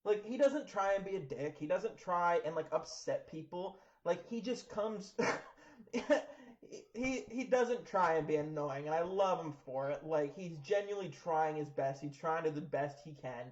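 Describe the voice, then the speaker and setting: A man's voice: a little high, moderate pace. A man in his thirties in casual chat, blunt, joking and swearing freely. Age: 30s. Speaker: wrestler, podcast co-host. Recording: dull lo-fi sound.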